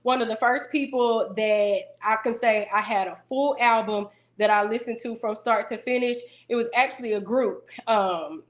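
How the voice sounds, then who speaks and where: A woman singer interviewed as a guest, talking casually in a self-assured, businesslike way with a deeper voice. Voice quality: deeper singing voice.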